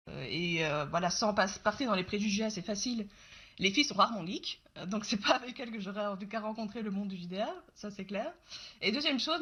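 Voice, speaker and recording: Woman, late 20s, Belgian French accent, bright tone, video call guest, relaxed and halting. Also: medium pitch, muffled recording. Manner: relaxed, hesitant with many pauses. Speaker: interview guest, YouTube game master. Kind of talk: casual interview chat.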